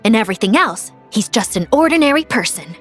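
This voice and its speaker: A woman's voice: on the high side, sing-song, fairly quick, soft background music. A woman voicing an earnest young actress who delivers dramatic lines with formal phrasing in a video game. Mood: solemn, reproachful.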